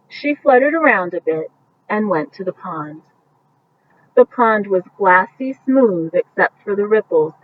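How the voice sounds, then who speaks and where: A woman reading a picture book aloud at bedtime, gently explaining the pictures with casual asides as if to young children. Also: medium pitch. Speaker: storybook reader. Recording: muffled, distant mic with faint background noise.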